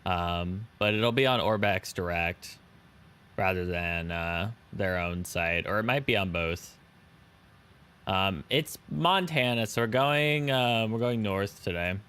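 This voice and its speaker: A man's voice: fairly low pitch, slow pace, a bit bright, clear, resonant tone. A young man streaming a flight simulator, chatting casually with viewers and thanking subscribers. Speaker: live streamer. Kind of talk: casual live-stream chat while flying a simulator.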